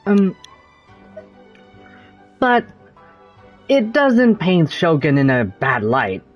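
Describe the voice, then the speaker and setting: A man's voice: on the high side, wildly swooping pitch, drawn-out words, lo-fi sound, soft background music, heavily acted. A man voicing an easygoing young male traveler hero in a video game, talking casually and a little hesitantly.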